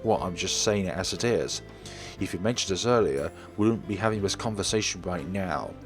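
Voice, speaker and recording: A man's voice: sits low, expressive, fairly quick, over music. A man voicing a casual, impatient but reassuring male friend in a visual novel. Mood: defensive. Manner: casual, firm, certain.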